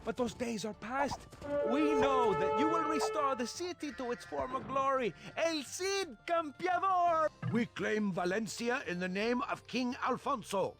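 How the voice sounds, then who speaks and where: An actor playing medieval townsfolk hailing a hero in formal, declamatory video game dialogue. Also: male voice, very high voice, expressive, unhurried, over loud music, full character voice. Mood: proclaiming.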